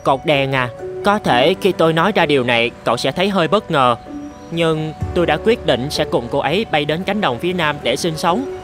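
A man's voice: a little high, expressive, fairly quick, close to an everyday voice. Man acting an eager, lovestruck little bird. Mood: happy, tender. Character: cheerful, lovestruck little male bird, the traffic light's friend. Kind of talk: animated fairy-tale dubbing. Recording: music under the voice.

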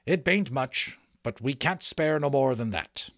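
A huge, rough outlaw from a storybook, acted out by a man reading aloud. The voice is gruff and booming, swinging widely in pitch as he banters in rustic dialect. The sound is dry and close. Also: lively intonation, measured pace, no echo. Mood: grudging, sullen.

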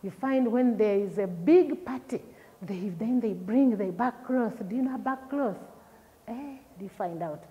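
A middle-aged woman with a Ugandan accent teaches a class in a fairly high voice, recorded from a camera a few meters away. She explains patiently, checks in with questions and repeats key words. Her voice carries a long reverb from the room. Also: measured pace, muffled recording. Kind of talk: recorded classroom lesson for pupils. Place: classroom with projector screen.

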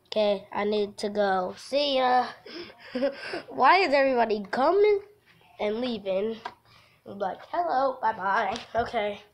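A woman's voice: on the high side, animated, measured pace. A giggly woman hosting a casual game livestream, chatting and reading aloud from a visual novel. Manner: giggly, breaks off into laughter. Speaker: livestream host.

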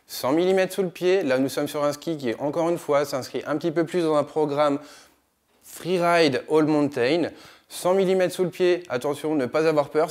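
Brief: man, 30s, shop advisor, slightly high voice, brisk and eager product pitch, studio read. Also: a little mellow. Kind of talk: scripted product presentation. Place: indoor studio with skis on a wall.

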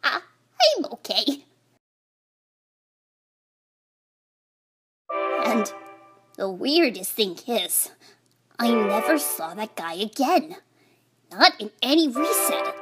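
A woman's voice: fairly high pitch, big pitch swings, takes it slowly, long pauses, over music. A woman playing a small, snappish, guilt-haunted talking flower in a comic dub, speaking hesitantly and irritably. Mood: uneasy. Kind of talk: dramatic comic dub acting.

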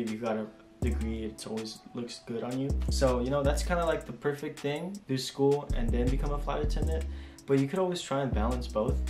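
A man's voice: mid-range voice, darkish tone, roomy sound. A man in his early twenties, a flight attendant chatting casually through viewer questions at home, rambling with lots of fillers and restarts. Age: 20s. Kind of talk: casual Q&A chat. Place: small home room indoors.

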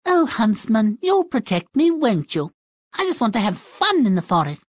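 A naive young princess from a fairy-tale game. She is girlish and airy, with a high, lively lilt. The sound is dry and close. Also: moderate pace, husky, dry recording. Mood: coy.

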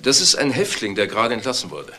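An actor playing a matter-of-fact police detective in a crime drama. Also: male voice, mid-range voice, big pitch swings, fast talker. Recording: loud background noise with clicks.

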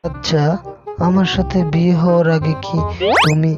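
A man's voice: on the high side, flat delivery, moderate pace, natural voice. A man voicing a foolish, cheeky husband in a comic cartoon, with exaggerated wisecracking delivery. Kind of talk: comic cartoon joke dialogue. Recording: dull lo-fi audio under loud music.

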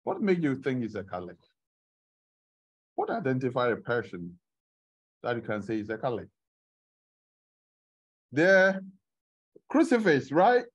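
A man's voice: medium pitch, huge pitch swings, husky, dull audio. A middle-aged man preaching a sermon to a congregation in a church meeting room, asking them questions as he goes, heard from a camera at the back. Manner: unhurried, asks the congregation questions. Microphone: far camera at the back of the room.

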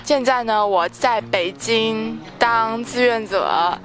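A young woman from China, a volunteer, answering interview questions in a measured way with occasional fillers. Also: on the high side, over music.

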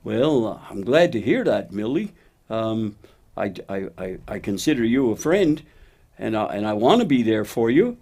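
Man voicing a low, patient, kindly puppet cow. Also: very animated, moderate pace. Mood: modest. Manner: plain-spoken, patient, gently instructive. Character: steady, faithful cow, a wise kindly friend. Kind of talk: children's puppet show dialogue.

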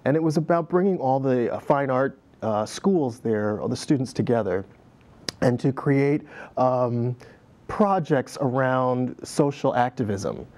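A middle-aged man talks in a TV studio, recalling his life in a reflective, meandering way. He fills pauses with ums and uhs and laughs easily. His voice sits at an ordinary pitch and is picked up by a lapel mic. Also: a little mellow. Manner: reflective storyteller, many fillers, laughs easily. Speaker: guest, dance director. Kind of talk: conversational interview. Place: TV studio set.

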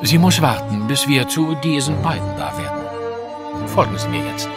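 An actor playing a cool, logical Victorian detective in a German audio drama, speaking formally and in a measured, analytical way. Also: male voice, a little high, lively intonation. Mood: resolute. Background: music.

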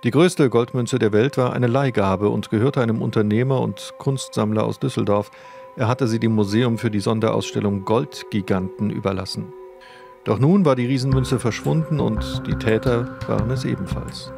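Male narrator reading dramatic crime report, some fry, light music under it. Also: mid-range voice, steady tone, normal speed, darkish tone. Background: background music.

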